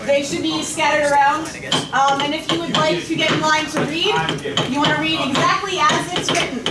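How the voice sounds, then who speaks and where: A woman's voice: sits high, fairly even intonation, normal speed, distant mic. A woman hosting a live comedy panel with banter, recorded off mic in an echoey, noisy convention room. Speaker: panel host.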